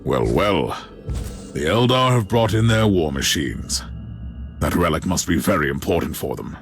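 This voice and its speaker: A man playing a resolute, commanding inquisitor in a video game, measured and dryly confident. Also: low voice, animated, soft background music. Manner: measured, authoritative. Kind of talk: video game cutscene acting.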